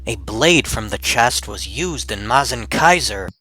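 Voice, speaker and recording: A man's voice: mid-range voice, lively intonation, unhurried, no echo. A man voicing a blunt, vengeful fantasy warrior hero in a fan abridged anime dub. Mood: determined. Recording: loud music over the voice. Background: loud music.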